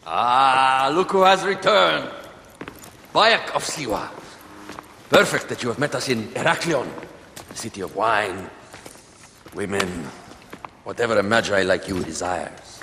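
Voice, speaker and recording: A man's voice: mid-range voice, lively intonation, slow pace, pauses often, pushed and bright, a little hiss or hum, full character voice. An actor playing a jovial, courtly warrior in a queen's service, with theatrical flourish, in a cinematic game. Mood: jovial, welcoming.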